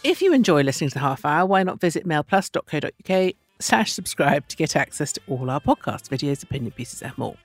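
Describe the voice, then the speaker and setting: A woman's voice: low voice, sing-song, quick pace, hardly any pauses, soft background music. A woman hosting a podcast, chatty and enthusiastic as she interviews a guest. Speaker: podcast host. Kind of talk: casual chat and interviewing.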